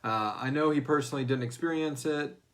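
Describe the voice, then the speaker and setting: A man's voice: medium pitch, brisk, fairly bright tone. Guy co-hosting a casual q&a, normal mid voice, jokey, swears a bit. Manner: informal, profane, jokey. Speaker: host of a fan Q&A show. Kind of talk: casual chat answering listener questions.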